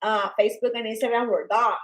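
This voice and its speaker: A Colombian woman with an accent, co-hosting a live worship stream in an energetic, excitable way. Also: on the high side, fast. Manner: energetic, excitable, with squeals and laughs. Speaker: co-host of an online worship show. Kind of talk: casual live-stream chat. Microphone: live stream on phones and devices.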